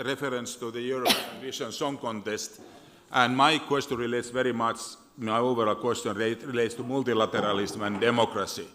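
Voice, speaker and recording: A man's voice: medium pitch, a bit bright. A man, a parliamentarian, asking an earnest, wry question from the floor of a panel. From Finland. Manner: earnest, repeats words, wry humor. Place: large conference hall. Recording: reverberant conference hall.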